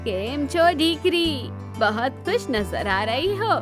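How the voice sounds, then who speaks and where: A woman voicing a kindly old village mother, drawn-out and affectionate, in a cartoon story. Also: high-pitched, big pitch swings, music in the background, pitched above the performer's own voice, full character voice.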